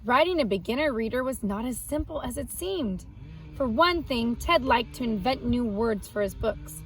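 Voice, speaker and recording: A woman reading a picture book aloud to young children, expressive, pausing to explain words simply. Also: fairly high pitch, faint music behind, rough recording. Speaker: children's read-aloud host and art teacher.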